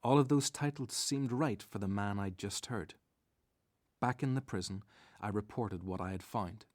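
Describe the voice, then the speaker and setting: An actor playing a devout disciple who narrates a radio Bible drama in a reflective, scripted style. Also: male voice, fairly low pitch, moderate pace, close to an everyday voice. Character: male disciple recounting events, reflective and devout.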